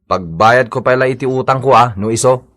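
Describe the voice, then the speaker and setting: A man's voice: mid-range voice, fairly quick, fairly bright tone, lightly acted. A man playing an irritable, defensive Ilocano husband in a radio comedy drama, blunt and grumbling. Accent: Ilocano Filipino.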